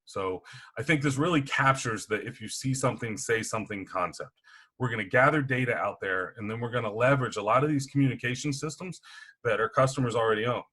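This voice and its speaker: A man's voice: medium pitch, fairly quick, pushed and bright, husky. A man presenting sales training in an informal, explanatory style.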